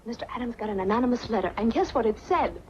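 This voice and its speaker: A woman plays a coy young gossip in a 1940s noir film. She is greedy and excitable, in a fairly high voice, on a dull soundtrack with faint noise. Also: fast, close to an everyday voice. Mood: gleeful gossip. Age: young adult.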